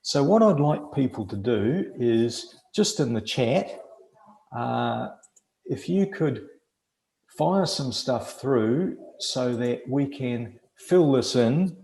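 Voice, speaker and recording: A man with a New Zealand accent presenting a webinar over a video call, explaining in a measured way with frequent fillers. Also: medium pitch, expressive, creaky, darkish tone, echoey room, rough recording. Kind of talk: teaching a webinar.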